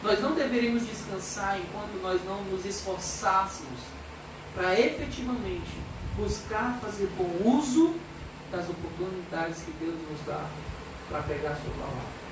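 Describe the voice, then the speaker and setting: A woman's voice: medium pitch, animated, moderate pace. A woman with a Brazilian Portuguese accent preaching an expository sermon, earnest and emphatic, reading scripture aloud. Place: church hall. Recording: echoey church hall from far off, background noise.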